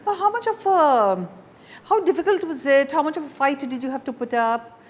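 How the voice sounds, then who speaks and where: A woman's voice: high voice, animated, normal speed. A woman hosting a conversational interview. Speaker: interview show host.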